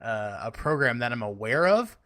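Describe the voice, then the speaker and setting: A man's voice: mid-range voice, big pitch swings, moderate pace, a bit bright, no room sound. A man co-hosting a casual podcast, joking and boasting crudely. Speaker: podcast co-host, musician.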